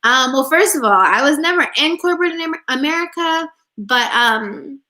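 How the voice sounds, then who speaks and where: Bright-voiced chatty young woman. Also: high-pitched, animated, moderate pace. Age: 20s. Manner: chatty and upbeat, many "like"s, reads out viewer comments. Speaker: spiritual coach and vlogger. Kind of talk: casual livestream chat answering viewer questions.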